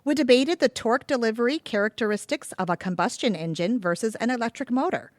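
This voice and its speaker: An actress playing a perky, friendly female bookstore clerk in a comic audio drama, playful and animated. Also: a little high, fast talker, no room sound, close to an everyday voice. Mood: friendly, curious.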